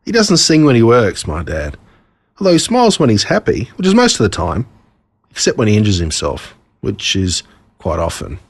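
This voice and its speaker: Australian man narrating, pitch swooping expressively. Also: normal speed, gravelly, slightly rough, dry recording. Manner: expressive, storytelling in a child narrator's words. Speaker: author reading his own story. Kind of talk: scripted storybook narration.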